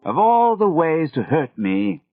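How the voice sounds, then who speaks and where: A pompous, wounded older artist with a British accent, as a male narrator puts him on, speaking slow and emphatic, pitched well above the narrator's low voice. The sound is tinny and phone-like, with a dry, close feel. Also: wildly swooping pitch, no echo.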